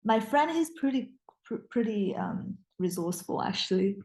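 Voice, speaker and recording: A woman's voice: mid-range voice, animated, normal speed, a little mellow. A young woman whose first language is Mandarin, a guest in a casual interview, speaking English with frequent restarts and fillers. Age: young adult. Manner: hesitant, many restarts and fillers.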